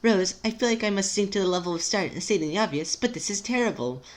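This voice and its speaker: A refined, verbose woman, acted by an amateur reader, pitched well up from ordinary talk. She is an anxious mother in a story reading, choosing formal words with great precision. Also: animated, fast, natural voice. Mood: worried, formal. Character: poised, wordy adult woman, anxious mother.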